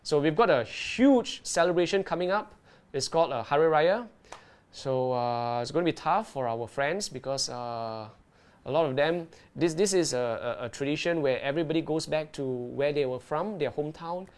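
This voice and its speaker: Lively man presenting on a livestream. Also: fairly high pitch, normal speed, smooth. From Malaysia. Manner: lively, keeps checking in with "right?". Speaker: underwater video presenter. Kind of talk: live-streamed tutorial and Q&A.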